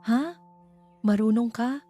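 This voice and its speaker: A Filipino woman voicing a gentle, caring young village schoolteacher in a love story, speaking softly and tenderly. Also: fairly high pitch, slow, soft background music, pitched above the performer's own voice. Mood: surprised. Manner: soft, tender speech.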